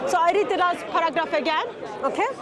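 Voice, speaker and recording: High-pitched woman debating. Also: fast talker. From Turkey. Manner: persistent questioning, non-native phrasing. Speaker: street debater. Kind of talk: street debate. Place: outdoor public speaking spot in a park. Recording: loud crowd chatter behind.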